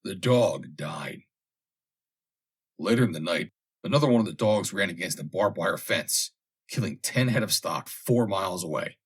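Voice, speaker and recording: A man reads a comic vintage story aloud as scripted narration, in a measured, dramatic storytelling manner with deliberate pauses. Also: sits low, lively intonation, breathy edge. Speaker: audiobook reader.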